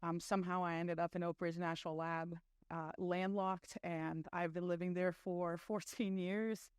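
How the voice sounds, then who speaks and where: A woman's voice: lowish voice, level tone, moderate pace, clear, resonant tone, no echo, studio quality. A middle-aged woman from Brazil giving a chatty conference talk, full of fillers and self-deprecating asides. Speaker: conference speaker.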